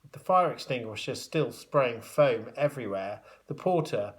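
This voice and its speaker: A man's voice: medium pitch, normal speed. Man reading a children's story expressively. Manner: expressive, dramatic emphasis. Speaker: primary school teacher reading aloud. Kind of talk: animated children's storybook narration.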